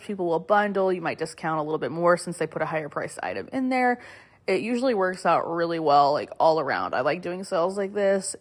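A young woman hosting a casual podcast, a little hoarse from a cold, chatting loosely with lots of fillers and small laughs. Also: lowish voice. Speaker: podcast host. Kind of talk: casual solo podcast chat.

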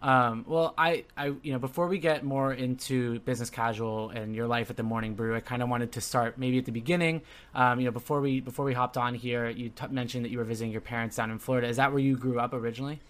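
A man's voice: mid-range voice, fairly even intonation, fast talker, fairly bright tone. A young man from Southern California hosting a casual interview, friendly and often hesitant, repeating words as he finds his questions. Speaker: podcast host.

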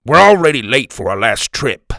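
A big, bullying pirate crewman from an adventure audiobook, acted out by a male narrator. He is gruff and boisterous, throwing his weight around loudly. The sound is dry, with a gravelly edge. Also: fairly high pitch, lively intonation, a little slow, no echo, pitched above the performer's own voice, full character voice. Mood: gleeful, bossy. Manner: loud, bossy, chuckling. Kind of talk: children's adventure audiobook character voice.

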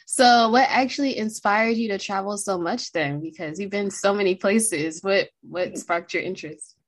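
A woman's voice: mid-range voice, normal speed, no room sound. A woman hosting a podcast, who asks her guest questions in an upbeat, friendly way. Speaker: podcast host. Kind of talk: casual interview chat.

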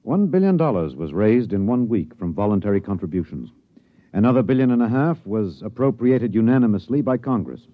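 An actor playing an earnest newsman, narrating an old-time radio drama in a dramatic, deliberate way. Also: on the low side, big pitch swings, no room sound. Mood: grave, suspenseful. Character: earnest male newsman recounting a tense space rescue. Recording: dry, dull vintage radio recording.

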